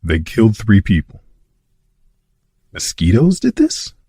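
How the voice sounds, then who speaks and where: A man playing a grave, authoritative in an audio drama, speaking with measured weight. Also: fairly low pitch, huge pitch swings, mellow, exaggerated voice. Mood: alarmed, grave. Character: grave, secretive male President of the United States. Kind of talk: audio drama acting, briefing and formal speech. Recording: echoey, distant, faint noise.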